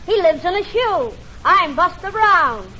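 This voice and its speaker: A cheerful little boy mascot, squeaking very high and drawing out each word slowly. Heavy hiss runs under the old recording. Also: very animated, full character voice. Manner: squeaky, slow and sing-song. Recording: noisy old broadcast recording.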